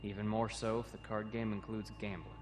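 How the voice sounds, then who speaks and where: Man playing a wary low-voiced young cadet. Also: little pitch movement, brisk, noisy background, natural voice. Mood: wary, exasperated. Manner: wary, explanatory. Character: young male cadet, wary, explaining guide. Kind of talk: audio comic drama acting. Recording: roomy echo, music behind.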